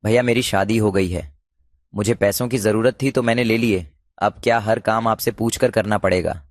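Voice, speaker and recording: A man plays a stubborn younger brother and doting uncle in a cartoon. He talks low and blunt, with dry, close sound. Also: fairly even intonation, normal speed, no room sound, lightly acted. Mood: defiant. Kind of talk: cartoon voice acting.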